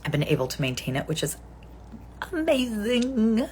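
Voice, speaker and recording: A woman's voice: a little high, sing-song, moderate pace, a little mellow, a little hiss or hum. A woman in her forties chatting casually with viewers on a live stream, rambling and correcting herself with frequent ums. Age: 40s. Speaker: livestream host.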